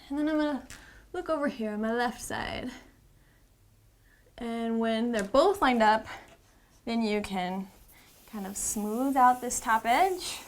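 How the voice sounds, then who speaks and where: A woman with a somewhat high voice gives a how-to demonstration. She explains each step informally, like an instructor talking a learner through a task. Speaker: instructor presenting a how-to series.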